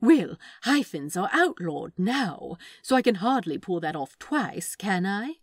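Woman playing a prim, indignant male angel, animated. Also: medium pitch, measured pace, husky, dry recording, clean studio sound, exaggerated voice. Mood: prim protest. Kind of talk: fan fiction dialogue reading.

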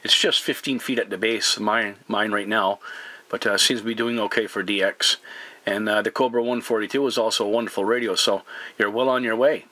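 A man's voice: fairly low pitch, steady tone, brisk, sharp tone, slight background noise. A man working as a CB radio operator, speaking in courteous, formal phrases in a two-way exchange. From Newfoundland, Canada. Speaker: CB radio operator making a contact video.

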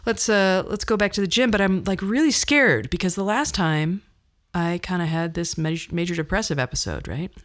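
A woman in her fifties with a fairly low voice hosts a podcast monologue. She talks conversationally about her own life, with a wry, self-deprecating touch. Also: normal speed, fairly bright tone. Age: 50s. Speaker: podcast host. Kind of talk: personal storytelling monologue.

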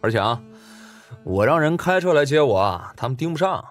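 A man's voice: medium pitch, sing-song, a little fast, faint music behind, close to an everyday voice. A man playing an easygoing, hesitant working man and loyal buddy, talking colloquially in an audiobook drama.

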